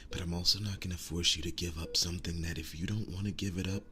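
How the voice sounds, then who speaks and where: A man playing a tender, wounded, earnest werewolf boyfriend in an intimate ASMR roleplay, breathy and often whispering, speaking in halting, soft reassurance. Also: deep voice, steady tone, a little hiss or hum. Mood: earnest, reassuring.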